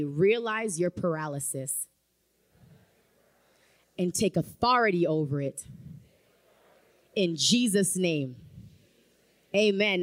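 A woman preaching a sermon in a church, emphatic and rising to fervent calls of amen. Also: on the low side. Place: church sanctuary.

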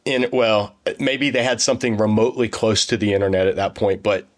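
A man's voice: lowish voice, fairly even intonation, fairly quick. A man hosting a conversational interview, talkative and enthusiastic. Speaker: host.

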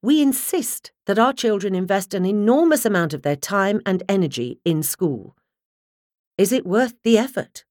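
A woman reading a nonfiction audiobook in a measured, articulate way, close to the microphone. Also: medium pitch, expressive, a little mellow, dry recording. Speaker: audiobook narrator. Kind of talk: scripted nonfiction audiobook narration.

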